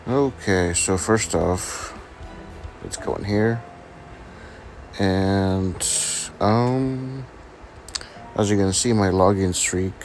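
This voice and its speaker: A deep-voiced man talks slowly, his voice gravelly and raspy because he is sick. He hesitates a lot, filling gaps with ums as he casually shows off his game account. Soft music sits faintly behind him. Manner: hesitant, many ums and restarts. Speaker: gaming channel host.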